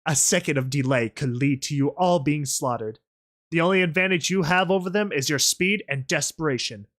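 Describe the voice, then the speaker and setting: A woman's voice: sits low, moderate pace, lightly acted. A woman voicing a defiant, desperate female pony rebel leader in a story, earnest, resolute and commanding. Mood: urgent instruction.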